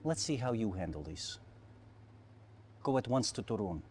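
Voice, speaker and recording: An actor playing a ruthless middle-aged Soviet general, formal and clipped, in a dramatic game cutscene. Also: male voice, fairly low pitch, sing-song, normal speed, a little mellow. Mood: stern. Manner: formal, clipped military delivery. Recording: noisy game cutscene audio.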